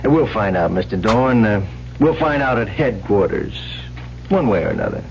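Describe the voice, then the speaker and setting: An actor playing a suspicious, sardonic police detective with a clipped, needling manner in an old-time radio drama. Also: male voice, on the low side, sing-song, normal speed, vocal fry, music in the background. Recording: muffled old radio recording, faint hum.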